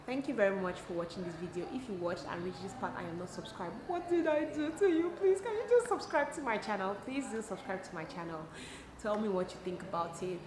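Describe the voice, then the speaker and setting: Casting: female vlogger, Cameroonian accent, emphatic and conversational, mid-range pitch; echoey, noisy home-style recording. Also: a little fast. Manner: animated, emphatic, conversational, rhetorical questions. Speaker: host of a YouTube channel. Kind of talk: casual advice vlog answering viewer questions. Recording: echoey room, clear background noise.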